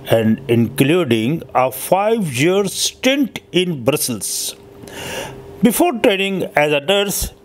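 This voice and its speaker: A man narrating an inspirational historical story as its host, with halting, deliberate phrasing and occasional stumbles. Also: fairly high pitch, darkish tone. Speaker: host telling inspirational true stories.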